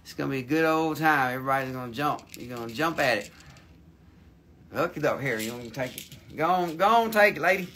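A man voicing a loud, excitable country hick, drawling and whooping as he runs a live sale. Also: very high-pitched, normal speed, hardly any pauses, a little hiss or hum, voice pushed up, exaggerated voice. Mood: hyped. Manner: hollering, drawling, goofy. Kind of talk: live-stream sales banter.

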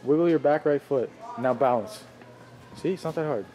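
A man's voice: medium pitch, normal speed, noisy background. A young man chatting playfully as he narrates his day for a vlog, filmed on a handheld camera in a car. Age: young adult. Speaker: vlogger. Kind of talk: casual vlog chat.

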